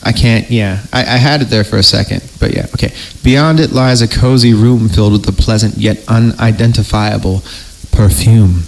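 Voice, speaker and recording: Low-pitched man reading horror aloud. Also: steady tone, normal speed, gravelly, rough recording. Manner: theatrical, stumbles and breaks off to react. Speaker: podcast host reading horror stories. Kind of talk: dramatic horror story narration with joking asides.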